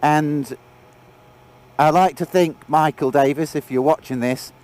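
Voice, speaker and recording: A man's voice: on the high side, a little slow, a little mellow. A man with a head cold, chatting and joking while riding a motorbike. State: congested with a cold. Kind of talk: casual chat while riding. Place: outdoors on a moving motorbike. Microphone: action camera on the bike.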